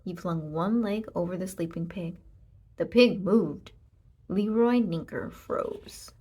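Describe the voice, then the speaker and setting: A woman narrating a children's storybook aloud, with expressive, deliberate delivery and emphasis on short sentences. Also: on the low side, slightly rough. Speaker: storybook reader.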